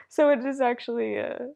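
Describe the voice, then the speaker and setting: A woman's voice: on the high side, vocal fry, mellow. A woman hosting a casual chat, hesitant and chatty with many fillers. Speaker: host.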